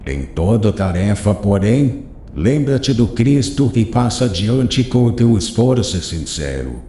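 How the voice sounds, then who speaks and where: Elderly sage played by a man, measured and paternal, deep mellow tone, echoey room. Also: very animated, a little hiss or hum. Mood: solemn counsel. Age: older. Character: old wise male rabbi, gentle teacher. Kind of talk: audiobook character reading.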